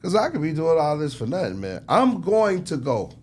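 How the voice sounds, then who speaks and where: A man's voice: a little high, very animated, moderate pace, slightly rough. A man speaking as a record executive being interviewed, casual and passionate, making his case emphatically with lots of slang and repetition. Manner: emphatic, persuasive, slangy, repeats himself. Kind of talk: casual, passionate shop talk.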